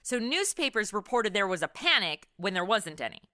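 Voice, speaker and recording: A woman's voice: medium pitch, big pitch swings, moderate pace, pushed and bright, smooth. A woman hosting a podcast, putting scripted but conversational questions to a guest in an inquisitive way. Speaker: podcast host interviewing a guest.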